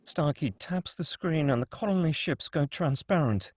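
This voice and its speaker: Script narrator, male, wry stage directions, dead dry sound, slightly high voice. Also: normal speed, no echo. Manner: wry, reads directions with asides. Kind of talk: table read of a screenplay.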